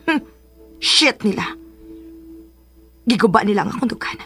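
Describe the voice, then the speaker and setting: A woman's voice: mid-range voice, expressive, measured pace, fairly bright tone, soft background music. A Filipino actress playing a bitter, sarcastic, lovelorn woman in a radio drama, drawing out her lines with scorn. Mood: bitter, mocking. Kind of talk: Philippine radio drama acting.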